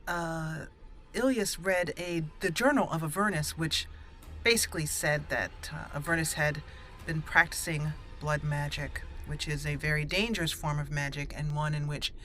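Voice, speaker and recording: A woman's voice: low voice, fairly even intonation, normal speed, pushed and bright, faint music behind. A woman hosting a roleplay video game series, chatting casually with frequent fillers and self-corrections. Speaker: let's play host.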